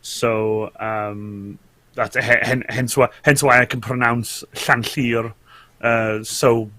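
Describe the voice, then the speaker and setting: A man's voice: mid-range voice, level tone, slow, sharp tone, dry recording. A man from Wales with a Welsh accent and Welsh as his first language, a guest chatting casually about streaming, full of restarts and fillers. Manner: chatty, lots of restarts and fillers, laughs often. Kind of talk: casual chat on a live show.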